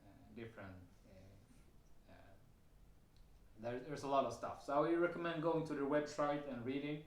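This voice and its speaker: A man's voice: medium pitch, moderate pace, slight background noise. A man with a Swedish accent sharing a personal experience to camera, hesitant and full of fillers and restarts. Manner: hesitant, many fillers and restarts, occasional soft laughs. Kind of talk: casual personal testimony to camera. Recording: roomy echo.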